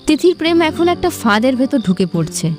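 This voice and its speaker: A woman with a fairly high voice narrates a romantic audio story. Her delivery is dramatic and scripted, set over a soft music bed. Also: very animated, fairly quick.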